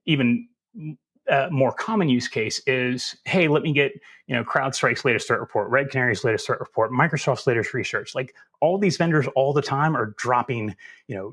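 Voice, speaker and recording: A man with a Southern US accent, answering interview questions in a relaxed, folksy and self-deprecating way. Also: medium pitch, husky. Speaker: security instructor as guest.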